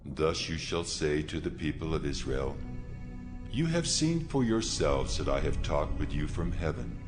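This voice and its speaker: A stern almighty God in a scripture audio drama. The voice is very low and commanding, solemnly declaring law over a music bed. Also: male voice, big pitch swings, measured pace, full character voice. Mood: grave instruction. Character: God, stern commanding male deity giving laws. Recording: music behind the voice.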